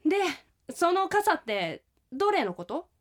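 A bossy, teasing girl, the schoolboy's sister in an audio drama. Her voice is high and very animated, with a chatty, nagging manner. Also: a little slow. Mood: scolding.

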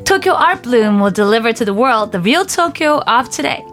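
A young woman hosts a radio interview in a studio. She talks playfully and giggles easily. Her pitch leaps up and down, and soft music plays under her. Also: sing-song, normal speed. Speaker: radio show host. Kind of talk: bubbly radio interview chat.

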